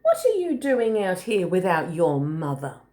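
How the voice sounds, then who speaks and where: A woman's voice: lowish voice, huge pitch swings, a little slow, warm tone. A woman voicing a bossy, doubting, dismissive possum in a children's storybook. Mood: questioning. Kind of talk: children's storybook read aloud.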